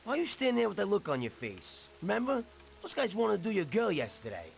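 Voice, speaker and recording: A man playing a hot-headed, brutal gangster henchman in a video game, with an excitable, aggressive, slangy delivery. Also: high-pitched, a little fast, gravelly, full character voice. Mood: taunting. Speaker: mob enforcer character.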